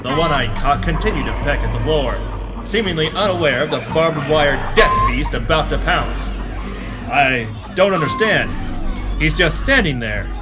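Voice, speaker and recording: Hardboiled pulp hero acted by a man, deadpan narration, slightly high voice, reverberant, music behind. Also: moderate pace, lightly acted. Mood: grave narration. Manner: deadpan, self-serious. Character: hardboiled male pulp action hero detective. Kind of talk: pulp audio drama narration and acting.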